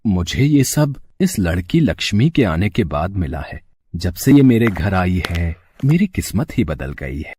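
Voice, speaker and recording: A man playing a humble, kind-hearted, devout father in a devotional storybook tale, gentle and reverent. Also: low voice, very animated, measured pace, slight background noise. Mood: grateful.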